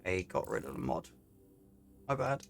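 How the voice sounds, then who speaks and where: A man giving casual, low-key commentary on a video game as he plays, often muttering to himself. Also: big pitch swings, normal speed. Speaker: gaming YouTuber. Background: game music.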